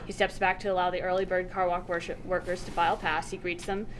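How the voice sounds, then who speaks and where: A woman narrates screenplay action at a quick clip. She is brisk and matter-of-fact as she moves through the stage directions. The recording is a noisy live room at a table read. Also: lowish voice, little pitch movement. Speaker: script narrator.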